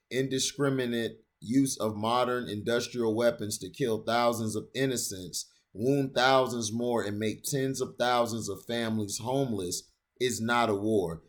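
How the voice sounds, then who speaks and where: A man hosting his own channel, reading a written opinion essay aloud in a steady, deliberate way, now and then stumbling over a long word and restarting it. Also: mid-range voice.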